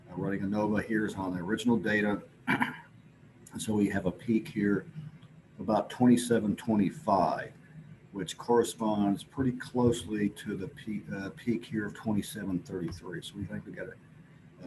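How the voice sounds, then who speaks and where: Man, deep voice, informal research talk, hesitant pauses, clear background noise. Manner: halting, hesitant explanation with pauses. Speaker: presenter at an astronomy symposium. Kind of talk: informal scientific slide presentation. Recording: clearly noisy recording with background ambience.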